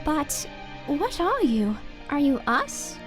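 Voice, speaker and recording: Woman voicing a tiny, very high-pitched boy test subject. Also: very animated, full character voice. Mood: curious. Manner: childlike, halting, questioning. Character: small, innocent, timid child-like male skeleton test subject. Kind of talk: dramatic comic dub acting. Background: music.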